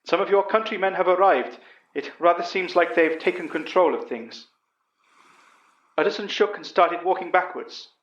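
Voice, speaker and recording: An earnest old English professor , played by a man, recounts a monster tale in a fairly high voice. It is first-person horror audio drama with a roomy, slightly muffled sound. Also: moderate pace, fairly bright tone. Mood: urgent.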